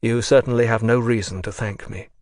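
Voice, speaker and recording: A man reading the part of a remorseful, earnest nobleman in an audiobook, in a measured, sincere voice. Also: medium pitch, steady tone, a little mellow, no room sound, clean studio sound, close to an everyday voice.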